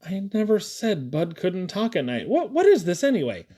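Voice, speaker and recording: A grumbling storybook father, voiced by a man, raised in pitch with lively swings. He sounds bewildered and exasperated by his household. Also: moderate pace, exaggerated voice.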